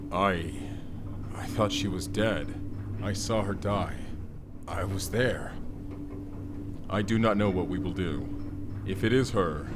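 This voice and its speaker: Low-voiced, stoic barbarian warrior played by a man. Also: background noise. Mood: guarded, troubled. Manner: formal, terse, avoids contractions. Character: stoic, solemn barbarian warrior, loyal cyberpunk operative. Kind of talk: first-person audio roleplay acting.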